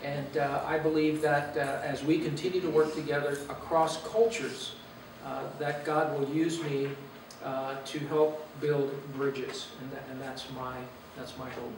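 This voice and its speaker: A man in his fifties from Los Angeles, giving an earnest, reflective talk as a guest speaker with frequent "uh" pauses. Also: a little high, flat delivery, slight background noise. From Los Angeles, USA. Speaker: guest speaker, university president. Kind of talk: personal talk to a church gathering. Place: meeting room or church hall. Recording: echoey room, mic far away.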